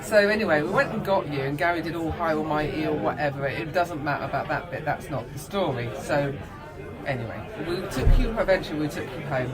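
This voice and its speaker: Casting: middle-aged mum, animated casual anecdote-teller who acts out dialogue, recorded amid clear background chatter indoors. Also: female voice, low-pitched, a little fast. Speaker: mother telling family stories.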